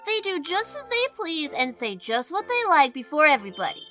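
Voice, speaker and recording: A mischievous young country boy, acted by a woman in a storybook dramatization. The voice is high and bright, childish and emphatic, with a stubborn, defiant edge. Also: very animated, faint music behind, full character voice. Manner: childish, emphatic, drawn-out retorts. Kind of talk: audiobook dramatization of a classic novel.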